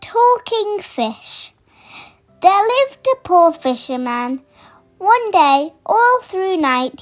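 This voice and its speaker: A young-sounding storyteller reading scripted children's fables aloud in a deliberate way. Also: extremely high pitch, a bit bright.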